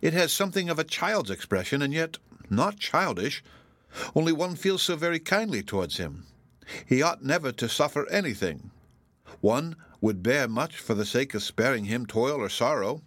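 A man reading aloud and voicing a cheerful, frank young girl from a village who speaks brightly and earnestly. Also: mid-range voice, sing-song, normal speed, no echo, voice pushed up. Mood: admiring.

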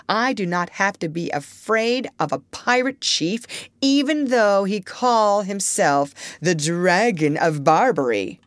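A woman reading aloud, voicing a kindly but stern male doctor in a storybook, speaking slowly and firmly. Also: mid-range voice, no echo, clean studio sound, lightly acted. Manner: slow, firm and earnest.

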